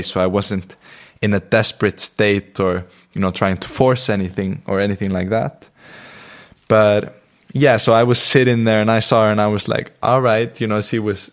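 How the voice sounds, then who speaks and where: Soft-spoken Icelandic man, low voice. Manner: reflective, spiritual wording, many fillers and restarts. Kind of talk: casual personal storytelling.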